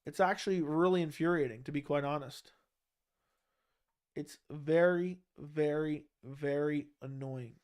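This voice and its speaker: A man hosting a casual livestream, reading questions from chat and answering them bluntly while his throat is sore. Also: high voice.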